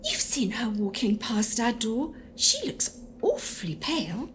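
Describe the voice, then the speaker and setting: Woman acting a high, anxious lady ghost. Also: wildly swooping pitch, measured pace. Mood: alarmed. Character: anxious, fussy, easily alarmed lady ghost. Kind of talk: audio drama acting. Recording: faint noise behind.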